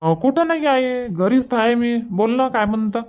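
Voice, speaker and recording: A man's voice: very high voice, lively intonation, normal speed, darkish tone, clean tone. A man voicing an easygoing, stubborn village husband in a cartoon comedy, chatty and colloquial, with a rural Varhadi Marathi accent. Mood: casual, reassuring. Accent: rural Varhadi Marathi from Vidarbha. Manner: casual, colloquial, explaining at length.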